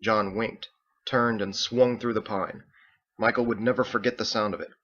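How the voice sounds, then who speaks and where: A man's voice: on the low side, fairly even intonation, moderate pace, a bit bright, dull audio. A man reading his own story aloud as scripted audiobook narration.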